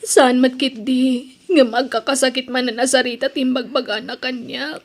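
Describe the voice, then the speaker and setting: A woman performs an aggrieved young wife in an audio drama. She speaks in a high voice and pleads like someone wronged and in need. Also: fairly even intonation, moderate pace. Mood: tearful pleading. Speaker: drama voice actress. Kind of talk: Ilocano audio drama acting.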